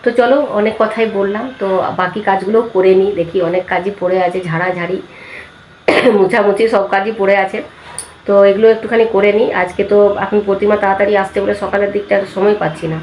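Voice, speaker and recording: Indian bengali lady doing a chatty home vlog, highish voice, noisy echoey room, says stuff twice. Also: steady tone, quick pace. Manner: chatty, repeats phrases. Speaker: family vlogger. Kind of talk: casual home vlog chat. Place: indoors at home. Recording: roomy echo, loud household background noise.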